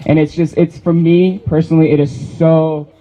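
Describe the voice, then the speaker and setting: A man's voice: fairly high pitch, level tone, moderate pace, dark tone. A young man from Iran speaks off the cuff at an outdoor campus rally, hesitant and full of restarts. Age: young adult. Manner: hesitant, many fillers and restarts. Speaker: student rally organizer. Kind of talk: unscripted rally speech. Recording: dull lo-fi outdoor recording, faint noise.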